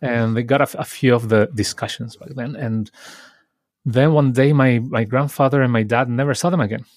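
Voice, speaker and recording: A man hosts a conversational podcast, recounting memories in a reflective, easygoing way. His voice sits at a medium pitch and is recorded dry and close, with no room sound. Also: steady tone, fairly quick, darkish tone, no room sound. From Chile. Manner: reflective, storytelling, lightly laughing. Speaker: podcast host.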